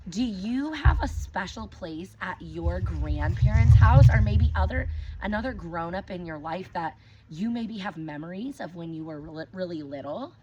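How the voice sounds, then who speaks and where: A woman teacher reading a picture book aloud to children, gently stopping to ask them questions. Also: fairly low pitch, expressive, moderate pace. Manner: gentle, asks children questions between pages. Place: outdoors with her dogs. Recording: dull lo-fi recording with clear background noise.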